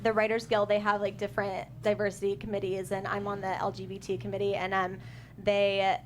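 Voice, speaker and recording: A woman screenwriter talks through her work in a relaxed panel chat. She rambles a little, full of fillers and restarts, in a mid-range voice. A faint hiss sits under the recording. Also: mid-range voice, brisk. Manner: chatty, many fillers and restarts. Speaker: screenwriter guest. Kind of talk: casual panel discussion.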